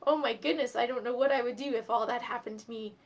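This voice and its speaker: A woman hosting a children's storytime, expressive and speaking directly to young listeners as she reads and explains step by step. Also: on the high side, fast. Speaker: storytime host and reader for children. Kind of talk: animated storybook reading and craft instruction for children.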